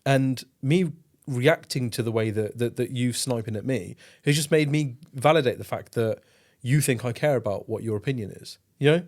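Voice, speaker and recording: A man's voice: medium pitch, normal speed. A man hosting a casual podcast conversation, rambling and informal, umming and ahing and swearing freely. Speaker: podcast host.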